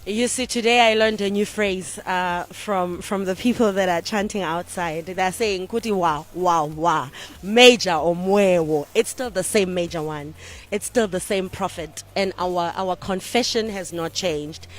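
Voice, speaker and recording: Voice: mid-range voice, unhurried, fairly bright tone, a little hiss or hum. Fervent church supporter testifying. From South Africa.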